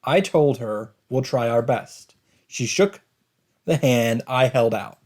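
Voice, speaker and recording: A man's voice: medium pitch, takes it slowly, darkish tone. A man let's player reading visual novel narration dramatically, with casual commentary of his own. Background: game music.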